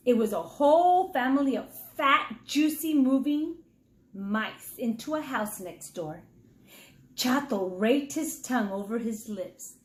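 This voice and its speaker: High-pitched, animated woman storyteller. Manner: dramatic, deliberate storytelling for young children. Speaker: reading teacher. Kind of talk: animated children's story read-aloud. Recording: echoey, roomy sound.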